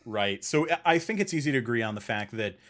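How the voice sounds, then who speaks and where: A man's voice: medium pitch, expressive, fast, fairly bright tone. A man hosting a podcast in a casual, conversational style, stressing key points by repeating them. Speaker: podcast host.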